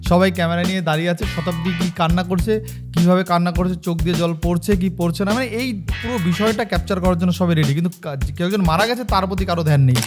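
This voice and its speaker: A man's voice: high-pitched, fast. A man giving casual, chatty reaction commentary as a host, addressing his viewers like friends. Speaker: host of a political meme review channel. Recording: music bed behind the voice.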